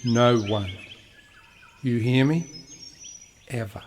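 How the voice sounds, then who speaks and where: A man's voice: mid-range voice, takes it slowly, darkish tone, in a large hall, a little hiss or hum, natural voice. An actor playing a hard, bitter father in a radio play, speaking in harsh, menacing, clipped phrases. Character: hard, bitter settler father guarding a secret. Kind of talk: intense radio play acting.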